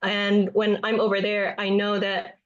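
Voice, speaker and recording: A young woman answers a judge's question on the spot, earnest and halting at times. Her mid-range voice comes through a dull, lo-fi recording. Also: medium pitch, completely flat delivery. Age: young adult. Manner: earnest, with hesitations. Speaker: speech contestant answering judges. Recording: dull, lo-fi sound.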